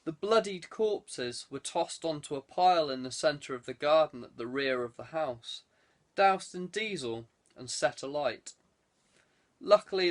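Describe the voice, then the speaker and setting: A man reading a thriller aloud as a scripted audiobook narration. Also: on the high side.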